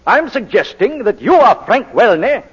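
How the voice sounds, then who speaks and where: A man's voice: extremely high pitch, sing-song, normal speed, breathy edge, full character voice. An actor playing a sly, relentless barrister in a 1940s radio courtroom drama, sardonic and mock-polite as he cross-examines a witness. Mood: probing, insinuating. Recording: muffled old 1940s radio broadcast.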